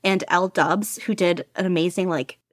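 A woman's voice: fairly low pitch, moderate pace, dry recording, studio quality. A woman guest on a casual podcast interview, talking eagerly and laughing often. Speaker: podcast guest, audiobook reader.

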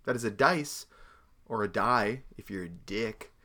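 Relaxed male trivia co-host, animated pitch, easy conversational read. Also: measured pace, a little hiss or hum. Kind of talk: casual chat reading trivia answers.

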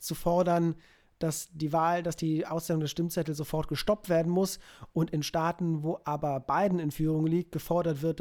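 A man co-hosting a casual podcast from home, giving animated opinions with stammering restarts. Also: on the high side. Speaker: podcast co-host. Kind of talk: casual remote podcast chat. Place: home, recording remotely.

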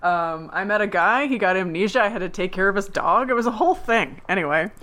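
A woman co-hosting a podcast in casual chat, her voice husky from a cold, rambling and correcting herself as she goes. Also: medium pitch, lively intonation, fast talker, fairly bright tone. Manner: rambling, self-correcting, laughing often. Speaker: podcast co-host. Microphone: remote call.

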